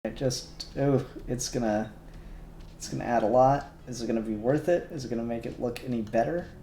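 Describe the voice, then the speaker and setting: A man chatting casually on a livestream, muttering software settings aloud and chuckling as he works. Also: medium pitch, brisk, reverberant, slight background noise. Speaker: streamer doing 3D render work.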